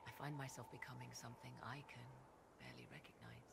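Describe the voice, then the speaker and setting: An actress playing a proud, enigmatic sorceress in a video game, speaking in theatrical, archaic and formal phrases. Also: female voice, extremely low pitch, big pitch swings, quick pace, gravelly, background noise, heavily acted. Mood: tender, reflective. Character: proud, enigmatic apostate sorceress, arcane advisor. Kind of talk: video game dialogue acting.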